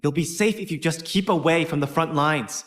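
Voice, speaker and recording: An actress playing a fiery, protective older sister, a young star student in an animated game film. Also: female voice, deep voice, moderate pace, lightly acted. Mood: stern, protective. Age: young adult.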